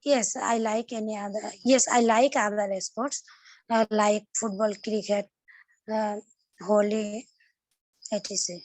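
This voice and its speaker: Hesitant slow-speaking woman student. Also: mid-range voice, steady tone, a little mellow, dry recording, low-quality recording. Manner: hesitant, many fillers, searching for words. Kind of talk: classroom practice. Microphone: video call.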